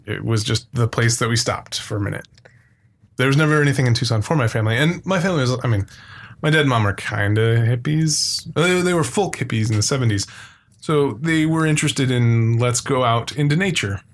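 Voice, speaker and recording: A man's voice: medium pitch, lively intonation, fairly quick. A middle-aged American man from Phoenix talking casually and unscripted as a podcast co-host, in a rambling, sweary way that piles up repeated phrases. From Phoenix, Arizona.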